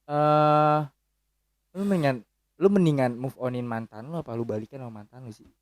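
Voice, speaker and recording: A man hosting a casual chat, firing short teasing questions and quick interjections. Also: mid-range voice, no room sound.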